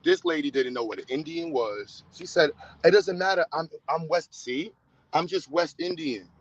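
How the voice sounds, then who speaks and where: Casting note: high-voiced New man, blunt livestream host, dull lo-fi audio. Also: normal speed. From United States. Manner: blunt, teasing, talks straight to viewers. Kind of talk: casual livestream chat. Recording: dull, lo-fi sound.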